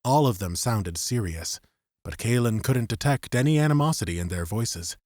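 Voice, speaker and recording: A man narrating scripted fiction as an audiobook, with measured, suspenseful storytelling. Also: lowish voice, lively intonation, a little mellow, no room sound, clean studio sound.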